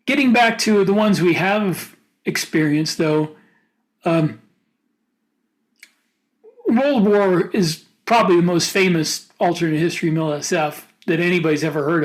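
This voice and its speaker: High-voiced man chatting about books. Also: rough recording. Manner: opinionated, halting mid-thought. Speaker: podcast co-host. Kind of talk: casual book discussion.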